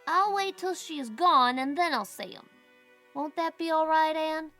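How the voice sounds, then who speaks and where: A woman's voice: high voice, normal speed, pushed and bright, faint music behind. A woman playing a stubborn, inquisitive little boy in an audiobook, with a blunt, insistent, childish way of talking. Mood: earnest. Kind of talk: audiobook character acting.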